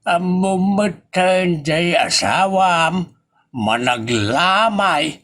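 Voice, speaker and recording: A man playing an old father in a comedy audio drama, drawling his words out very slowly. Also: sits high, lively intonation, a bit bright, full character voice. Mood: unhurried.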